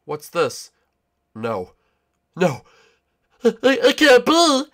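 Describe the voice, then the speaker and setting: A nervous young lad, acted by a man in a homemade character skit, with a lively, wide-ranging voice. He stammers, repeats himself and sounds guilty while trying to be brave. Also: extremely high pitch, drawn-out words, exaggerated voice. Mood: fearful. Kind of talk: casual hobby character skit acting.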